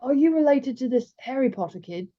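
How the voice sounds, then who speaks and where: A woman's voice: sits high, expressive, a little fast, pitched above the performer's own voice. A woman voicing a big, studious young boy in a storybook, serious and eager to learn. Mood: earnest, curious. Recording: slightly dull, lo-fi sound.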